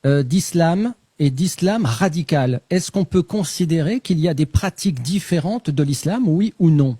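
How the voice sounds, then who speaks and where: A man's voice: a little high, expressive, moderate pace, warm tone, slightly rough, no echo. A man hosting a live stream, asking questions and often repeating his words. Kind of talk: casual interview.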